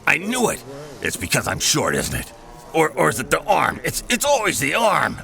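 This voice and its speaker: An actor playing a touchy, suspicious working-class roustabout in an audio comedy, grumbling wryly and defensively. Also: male voice, a little high, huge pitch swings, normal speed, creaky, pushed and bright, soft background music, full character voice. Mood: defensive, worried. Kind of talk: audio drama comedy acting.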